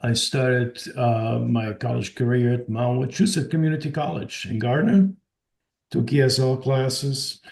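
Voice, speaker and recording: A man from Portugal, a native Portuguese speaker, giving a measured, courteous self-introduction on a video call. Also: medium pitch, fairly even intonation, creaky, darkish tone. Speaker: board applicant. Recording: echoey room.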